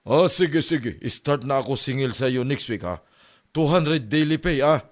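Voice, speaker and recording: A man acts out a persistent male Indian moneylender for a comedy audio drama. He speaks at a middle pitch in clipped, broken Tagalog, all business and set on collecting his money. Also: a little slow, close to an everyday voice. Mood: businesslike. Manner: clipped broken sentences, insistent.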